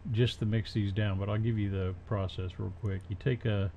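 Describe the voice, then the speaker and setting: A man presenting a casual, unscripted software tutorial, haltingly thinking aloud as he works. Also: fairly low pitch, level tone, vocal fry. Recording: dull, muffled home recording with faint noise.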